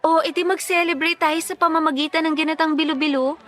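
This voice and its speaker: Pert young sweetheart, high sharp voice, teasing, sensible, radio drama acting. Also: female voice, steady tone, fast talker, soft background music. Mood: fond teasing. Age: young adult.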